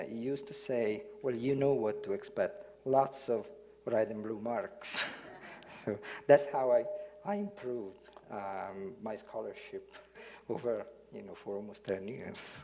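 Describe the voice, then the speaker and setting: A man's voice: mid-range voice, steady tone, unhurried, dark tone. A man from Italy with an Italian accent, giving an academic lecture in a hall, hesitant with fillers when speaking freely and reading parts of it aloud. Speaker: guest lecturer.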